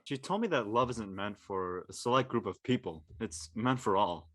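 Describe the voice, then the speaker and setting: A man playing a brash, sarcastic and impatient high school student in a drama read. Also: normal speed, a bit bright. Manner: blunt, sarcastic.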